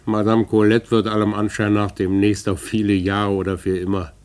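Male actor doing deep-ish sly older business guy, smug fake-friendly, draws words out, old german radio play. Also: monotone, moderate pace, a little mellow, natural voice. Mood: smug, insinuating.

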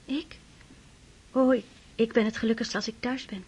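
A woman's voice: fairly high pitch, fairly even intonation, moderate pace, a little mellow. A shy, anxious young noblewoman. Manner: hesitant, breathy, pleading. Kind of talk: radio play acting.

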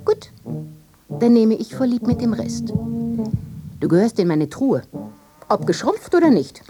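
An actress playing a possessive, mocking princess in a literary radio play, with a theatrical manner. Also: female voice, a little high, sing-song, a little slow, music in the background. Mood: scornful, reproachful. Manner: theatrical, swooping between scorn and hushed musing. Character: possessive, mocking princess, wife of a fallen nobleman.

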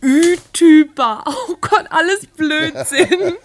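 A woman playing a lively, playful teenage daughter in a German radio play, with an excited girlish manner. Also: sits high, slow pace. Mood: playful, delighted.

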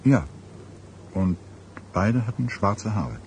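Deep-voiced, sombre police detective. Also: male voice, lively intonation, darkish tone, a little hiss or hum. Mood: musing. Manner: halting, grave, musing pauses. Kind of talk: German radio drama acting.

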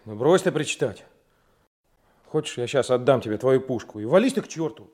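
A man's voice: medium pitch, expressive, fairly quick, darkish tone, close to an everyday voice. A man playing a stubborn, indignant young trainee detective in a Soviet radio drama, speaking bluntly. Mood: stubborn, aggrieved. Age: young adult.

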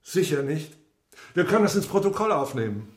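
Jeering secret police officer, higher male voice, needling, menacing, roomy sound, radio drama. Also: sing-song, fairly quick. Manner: mock-jovial, sneering, needling. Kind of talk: German radio drama acting.

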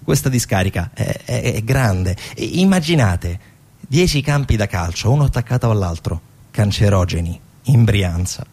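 A man's voice: fairly low pitch, expressive, normal speed, a little mellow, husky. A man narrating a theatrical documentary monologue in an explanatory way, posing rhetorical questions. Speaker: stage actor-narrator.

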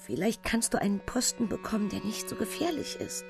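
Worried frail mom of a soldier, radio play, slow tender warnings, bit of music behind. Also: female voice, mid-range voice, sing-song, gravelly. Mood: anxious, pleading. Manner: slow, gentle, cautioning. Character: soldier's ailing, worried mother, middle-aged, tender, frail.

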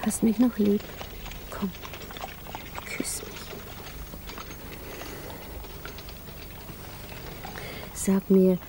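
A woman's voice: medium pitch, little pitch movement, slow pace, dark tone. An actress playing a tender, pleading woman in love in a 1950s radio drama. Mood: tender, needy. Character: yearning woman in love, tender, clinging, anxious. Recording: muffled 1950s radio recording, faint noise.

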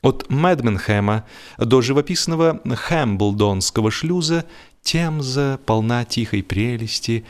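A male audiobook narrator with a mid-pitched voice tells a literary story. His reading is measured and theatrical, shaped by deliberate pauses. The delivery is scripted yet expressive, suited to humorous prose.

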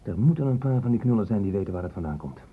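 Through a narrow, phone-like old radio recording comes a gruff, cynical male police inspector. His voice sits fairly low, and he talks bluntly and colloquially with a sardonic edge. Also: animated, rapid-fire. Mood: blunt, stern. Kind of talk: radio drama acting.

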